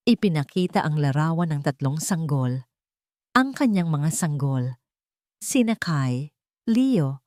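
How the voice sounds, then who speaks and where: Female narrator, lower register, deliberate dramatic storytelling, very dry recording. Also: darkish tone, dry recording.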